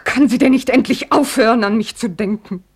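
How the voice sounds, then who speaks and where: A woman's voice: a little high, fairly quick, husky. An actress playing a proud, weary young woman, curt and guarded, in a 1950s German radio play. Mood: agitated, firm.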